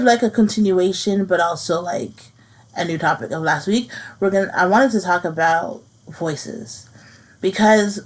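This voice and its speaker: A woman in her thirties hosting her own podcast, speaking earnestly and haltingly about something personal, repeating phrases as she works through it. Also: fairly low pitch, poor audio. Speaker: podcast host. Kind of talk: personal reflective monologue.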